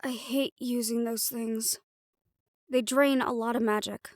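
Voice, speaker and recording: Woman doing sassy bossy siren girl, fantasy roleplay audio, highish voice, sarcastic, really dry close sound. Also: fairly even intonation, unhurried, no echo, close to an everyday voice. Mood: wry, teasing. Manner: sarcastic, emphatic. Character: sardonic young female siren, bossy, easily exasperated. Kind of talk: dramatic audio roleplay acting.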